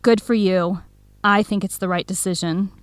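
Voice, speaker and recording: A young woman playing a supportive, excitable best friend in an educational radio drama, eager, expressive and full of questions. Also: mid-range voice, normal speed, clear, resonant tone, no room sound, close to an everyday voice. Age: young adult.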